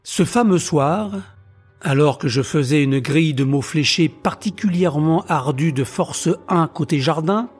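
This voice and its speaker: An actor playing an anxious, self-deprecating man of thirty-one who tells his own story in a theatrical audio drama. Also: a little high. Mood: tense, suspenseful. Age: 30s. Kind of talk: first-person audio drama narration.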